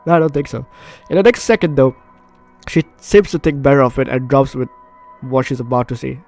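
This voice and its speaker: A male gaming host with an average-pitched voice reads visual novel narration aloud. He is informal and goofy, breaking into asides and stumbling over phrases, while game music plays faintly behind him. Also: fairly even intonation, brisk, creaky, darkish tone.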